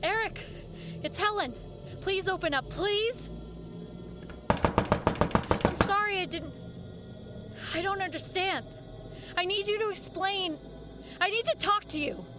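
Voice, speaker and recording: A woman's voice: extremely high pitch, slow, long pauses. An actress playing an anxious, earnest woman who recounts a horrifying ordeal in an intense, theatrical audio drama style. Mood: pleading. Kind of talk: dramatic first-person horror narration with acted dialogue. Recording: music under the voice. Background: dramatic music.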